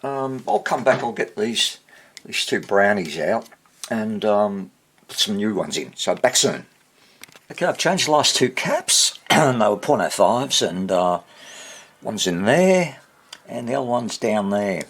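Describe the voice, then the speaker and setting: A man with a husky voice giving a casual hands-on repair demonstration, half muttering to himself as he works. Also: lowish voice, very animated, a little slow, a bit bright. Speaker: hobbyist radio repairer.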